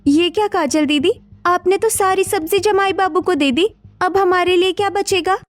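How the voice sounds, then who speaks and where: Woman as a high-pitched, exasperated young cartoon daughter-in-law. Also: moderate pace, dry recording. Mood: grumbling, mocking. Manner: complaining, indignant.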